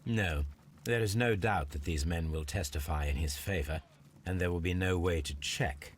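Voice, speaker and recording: Low pitch, man acting as composed shrewd detective, formal, deliberate, authoritative, video game drama, noisy backdrop. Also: close to an everyday voice. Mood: composed, decisive.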